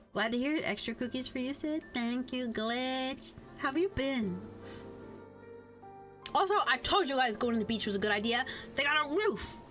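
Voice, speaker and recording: A woman livestreamer chatting casually and reading out chat, playful, theatrical and sweary, with lots of slang. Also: fairly high pitch. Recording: background music under voice. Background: music.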